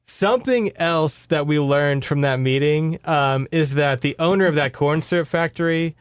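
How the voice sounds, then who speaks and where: A man's voice: on the high side, normal speed, clean tone, no echo, clean studio sound. A man with an American accent chatting casually and wryly as he recaps a book as a podcast co-host. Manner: wry, informal, sarcastic.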